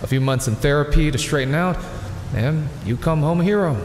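An actor playing an earnest, guilt-ridden older vigilante hero in an audio drama, pleading yet resolute. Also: male voice, on the high side, a little fast, slight background noise, close to an everyday voice. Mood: firm, persuading. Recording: echoey, reverberant hall sound. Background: music.

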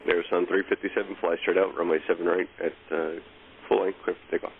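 A man's voice: deep voice, little pitch movement, quick pace, narrow, tinny audio. A man working as an air traffic controller, giving clipped instructions over aviation radio. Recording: band-limited aviation radio, faint static.

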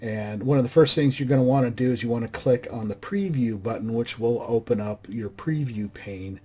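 Hoarse man giving a software walkthrough. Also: mid-range voice, normal speed. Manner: loose, conversational, with frequent "uh" and restarts. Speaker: mod review channel host. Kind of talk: screen-recorded software tutorial.

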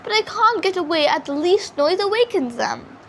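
A woman's voice: very high-pitched, big pitch swings, normal speed, a bit bright, slight background noise, full character voice. A woman voicing a timid, sad, weary captive rabbit in a storybook, with a glum, plaintive manner. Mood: glum, anxious.

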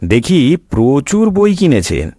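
Slow, wry storyteller man. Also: mid-range voice, huge pitch swings, dark tone, no room sound, studio quality. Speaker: storyteller host. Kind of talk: scripted comic cartoon narration.

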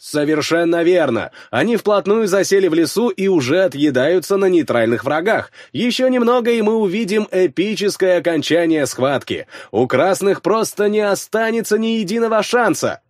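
Guy doing a hyped esports commentator, pitched way up, emphatic, dry audiobook sound. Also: animated, moderate pace, hardly any pauses, dry recording. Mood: excited, hyped. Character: excitable male esports match commentator. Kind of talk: audiobook character voice, game commentary.

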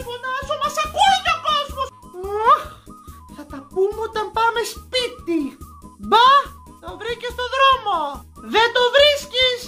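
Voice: very high-pitched, bright, forceful tone. A performer voicing a nagging, melodramatic Greek mother in a comic recital, exasperated and full of theatrical guilt-tripping. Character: Voulitsa, shrill melodramatic scolding Greek mother character. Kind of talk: comic recital of stock parental catchphrases.

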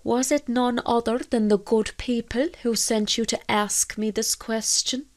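Woman as a shrewd, measured village priest. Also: on the high side, steady tone, pitched above the performer's own voice, natural voice. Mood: amused probing. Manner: measured, probing, firm. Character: Irish village priest, male, shrewd, firm, fatherly.

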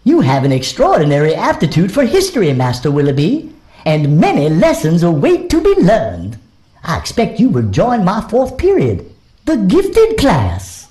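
A man's voice: high voice, huge pitch swings, dark tone, voice pushed up. A man voicing a prim, dignified female schoolteacher with formal, precise speech in an audio story. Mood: proudly approving. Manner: formal, precise, drawn-out endings.

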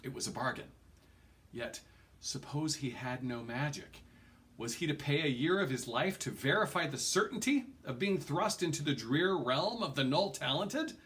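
A man's voice: fairly high pitch, expressive, normal speed, fairly bright tone. A man in his forties reading a fantasy novel aloud in a theatrical storytelling manner, with the odd small stumble. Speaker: reader. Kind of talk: expressive audiobook-style reading of a fantasy novel. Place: small home room, indoors.

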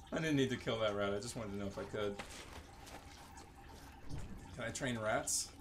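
A man's voice: on the low side, a little slow, background noise. A man giving casual video game commentary, muttering offhand remarks as he plays. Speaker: gaming video host.

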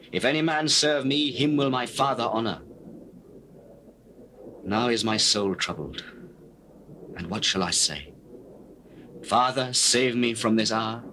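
An actor as solemn Jesus on hissy old tape. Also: male voice, mid-range voice, lightly acted. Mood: troubled, prayerful. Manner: measured, declamatory, archaic diction. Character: Jesus, solemn, authoritative male teacher and prophet. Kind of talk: dramatized scripture reading, theatrical. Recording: noisy old cassette tape.

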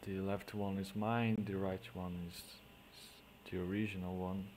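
A man with a Portuguese accent, a native Portuguese speaker, explaining digital painting step by step in halting English, often trailing off into quiet mutters as he works. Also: sits low, flat delivery, a little hiss or hum. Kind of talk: screen-recorded painting tutorial.

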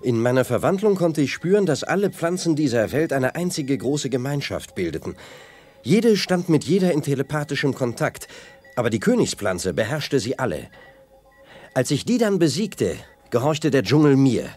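Brave young hero, earnest, very varied intonation, mid pitch, sci-fi radio drama. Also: male voice, big pitch swings, moderate pace, darkish tone, breathy edge, faint music behind. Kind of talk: 1980s children's sci-fi radio play.

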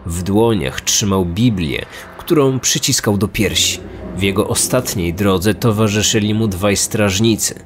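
Man, fairly low voice, serious scripted crime storytelling, music underneath. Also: measured pace. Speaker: podcast host, narrator. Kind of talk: scripted true-crime narration.

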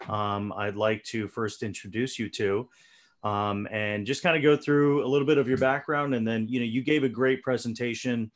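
A man interviewing guests over a video call, casual and relaxed, with many fillers. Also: fairly low pitch. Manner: relaxed, filler-heavy moderating.

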